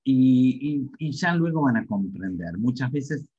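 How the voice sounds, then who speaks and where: A man's voice: medium pitch, very animated, a little slow, mellow, husky. A middle-aged man with an Argentine Spanish accent, giving an informal explanatory webinar lecture as a language teacher, often checking in with short tag questions. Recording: dull, muffled webinar audio.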